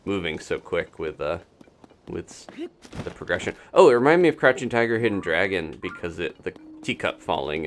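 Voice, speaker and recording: A man's voice: low-pitched, a bit bright, a little hiss or hum. A man giving casual gameplay commentary as a streamer, rambling as he thinks aloud. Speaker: game streamer.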